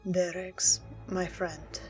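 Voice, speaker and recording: A woman's voice: on the low side, flat delivery, drawn-out words, soft background music. A woman playing a stern, troubled military commander, guarded and resolute, in clipped phrases for a radio play. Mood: troubled, hushed.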